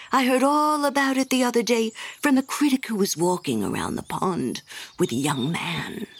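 A woman's voice: mid-range voice, breathy edge, no room sound. A woman doing a haughty know-it-all water rat. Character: self-important, gossipy water rat.

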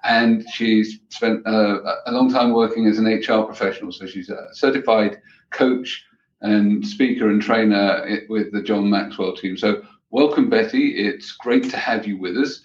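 Low-voiced male host, echoey call. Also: steady tone, normal speed, highs cut off. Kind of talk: interview host.

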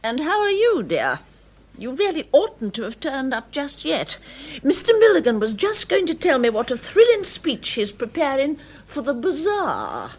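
An actress playing a scatty, gossipy elderly duchess with an upper-class English accent in a radio drama. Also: female voice, sits high, huge pitch swings, normal speed. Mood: bright, gossipy. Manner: gossipy, rambling, effusive.

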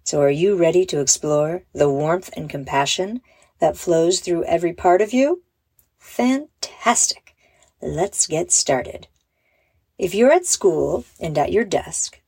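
Female meditation guide for kids: lowish, unhurried, gentle and encouraging, recorded close and dry. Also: breathy edge, no room sound. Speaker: host and meditation guide for children. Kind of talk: guided meditation, scripted narration.

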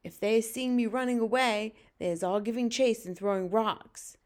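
Woman putting on a gentle, folksy giant man. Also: a little high, fairly even intonation, moderate pace, close to an everyday voice. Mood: nervous hushed urgency. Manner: mangled folksy grammar, earnest. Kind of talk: storybook read-aloud character voice.

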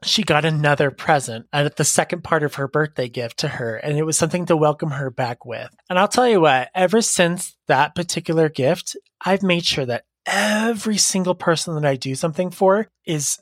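A podcast host with a raspy voice, telling a heartfelt story and giving advice in a casual, rambling way. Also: medium pitch, normal speed, few pauses, no room sound. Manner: earnest, heartfelt, rambling with fillers. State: raspy voice today.

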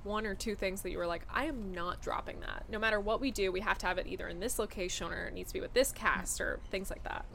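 Bright, eager woman podcast host. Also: medium pitch, fast, gravelly, few pauses, slight background noise. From Toronto, Canada. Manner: enthusiastic, affirming, asks long multi-part questions. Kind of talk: casual interview conversation.

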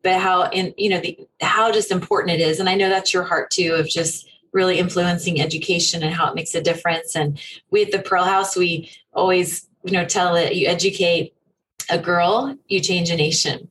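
A woman interviews her guest, asking questions in an enthusiastic, affirming way. Her voice sits slightly low. A faint noise runs under the recording. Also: fairly even intonation, normal speed, fairly bright tone, rough recording. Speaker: interviewer host.

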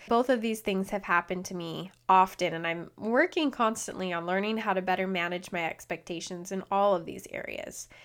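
Hoarse woman hosting a podcast. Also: medium pitch. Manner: earnest, encouraging. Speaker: podcast host. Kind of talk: solo podcast talk.

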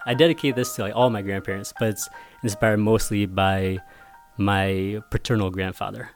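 A man whose first language was Vietnamese, a picture book author talking casually in an interview, thoughtful and halting with many restarts. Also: lowish voice, fairly even intonation, soft background music.

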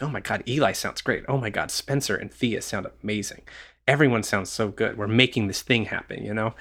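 Guest, male, 20s, lowish voice, candid and easygoing musician. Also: a bit bright. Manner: earnest and easygoing, with light laughs and "um" fillers. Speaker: orchestral horn player guest. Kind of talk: conversational interview answer.

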